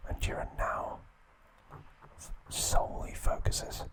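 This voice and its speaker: A man whispering ASMR trivia narration in a soft, steady voice, with casual asides now and then. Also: takes it slowly, rough recording. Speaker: ASMR creator.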